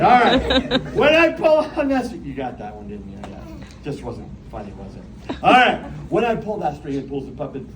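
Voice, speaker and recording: A man working as a puppeteer, talking playfully and teasingly to children at a live show and asking them questions. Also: fairly high pitch. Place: echoey room with a live audience. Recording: echoey, noisy live room from a distance.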